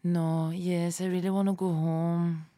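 A woman's voice: lowish voice, level tone, a little slow, warm tone, lightly acted. A woman playing a dreamy, homesick, feisty woman in a fiction podcast, rambling wistfully with sighs. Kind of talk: fiction podcast panel interview acting.

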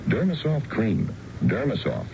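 A male announcer reads a scripted 1970s radio commercial. His deep voice moves widely in pitch. The broadcast is dull, with clear background noise. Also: wildly swooping pitch, measured pace.

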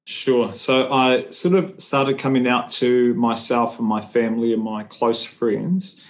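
A man from New Zealand, a guest in a remote interview, speaking thoughtfully with frequent pauses and fillers. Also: mid-range voice, steady tone, a little hiss or hum. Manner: thoughtful, hesitant with many fillers. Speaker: guest, human rights advocate. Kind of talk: conversational interview.

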